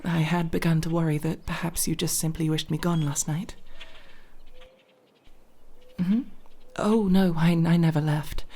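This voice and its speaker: A woman's voice: low voice, fairly even intonation, a little slow, pauses often, dark tone. A woman playing a nervous but proud young elf thief in an ASMR roleplay, speaking in formal, wordy, hesitant phrases. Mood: sheepish, composed.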